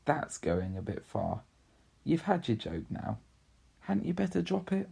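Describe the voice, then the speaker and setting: A man reads a sensible older brother from a storybook. The boy is fair-minded and firm, in a slightly husky voice with some creak. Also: fairly high pitch, very animated, unhurried, dark tone, pitched above the performer's own voice, exaggerated voice.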